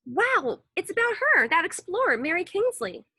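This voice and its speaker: Woman playing an excitable, high-pitched schoolchild. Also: normal speed, heavily acted. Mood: surprised, curious. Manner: exclaiming, eager. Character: excitable second-grade schoolchild, mischievous and eager. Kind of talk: acted storybook dialogue.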